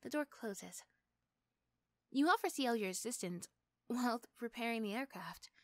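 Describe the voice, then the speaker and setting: A woman's voice: on the high side, animated, normal speed, fairly bright tone, no echo, clean studio sound. A woman narrating a scripted second-person story for an ASMR roleplay.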